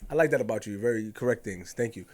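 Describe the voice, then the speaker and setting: A man's voice: on the low side, fast talker, darkish tone. A man hosting a podcast, asking probing, rambling questions in a casual interview. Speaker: podcast host.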